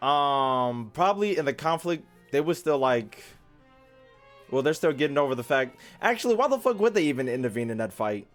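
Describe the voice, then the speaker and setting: A man's voice: mid-range voice, big pitch swings, normal speed, soft background music. A young man chatting casually as a game streamer, informal and slangy, rambling through his commentary. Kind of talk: casual livestream chat and commentary.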